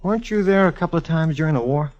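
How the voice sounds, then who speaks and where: An actor playing a smart, teasing police inspector in a vintage radio detective drama, with slangy, deadpan banter. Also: male voice, a little high, fast, highs cut off, soft background music, lightly acted. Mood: playful. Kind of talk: 1940s radio detective acting.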